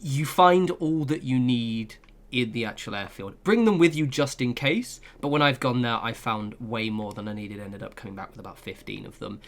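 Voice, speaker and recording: A man's voice: medium pitch, moderate pace. A man giving a casual, rambling walkthrough of a video game from his room at home, full of asides and hedging fillers. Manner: chatty, rambling, lots of "kind of" and "sort of". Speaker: gaming video creator. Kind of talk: casual game guide commentary. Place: home room with flatmates nearby.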